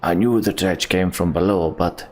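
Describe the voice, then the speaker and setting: A man's voice: low-pitched, normal speed, pitched below the performer's own voice. A man voicing a terse, skeptical warrior in a fantasy video game, speaking with understatement. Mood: guarded.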